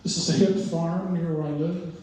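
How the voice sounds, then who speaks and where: Older guy with a mellow voice rambling about his paintings, lots of uhs, far off in a very echoey gallery. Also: a little high, steady tone. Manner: halting, many uhs and restarts. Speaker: exhibiting artist. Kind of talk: informal gallery talk. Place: art gallery, indoors. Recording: very echoey room, voice far from mic, faint noise.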